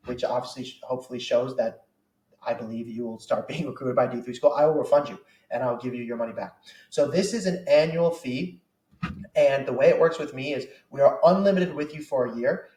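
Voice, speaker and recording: Chatty man pitching, echoey room. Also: darkish tone. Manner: chatty, persuasive, lots of "you know" and "um". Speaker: college soccer recruiting advisor. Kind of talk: casual sales pitch to parents and players. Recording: echoey room, distant mic.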